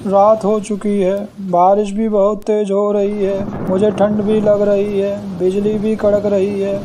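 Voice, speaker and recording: A man voicing a humble, pleading old beggar with an earnest manner in an animated moral story. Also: sits high, measured pace, background noise.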